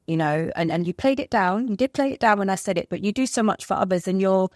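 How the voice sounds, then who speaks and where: A woman's voice: medium pitch, quick pace, no room sound, clean studio sound. A woman hosting a podcast interview, affirming her guest and building long, searching questions. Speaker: podcast host.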